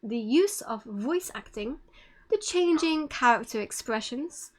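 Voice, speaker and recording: A woman with a fairly high voice gives an informal online presentation. She explains as she goes, a little hesitant in her delivery. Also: expressive, a little slow.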